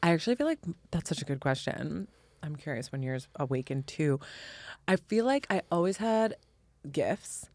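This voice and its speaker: Expressive American woman, dry close sound. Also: deep voice, moderate pace, dry recording. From Indiana, US Midwest. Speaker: podcast guest, spiritual teacher. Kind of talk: reflective conversational interview.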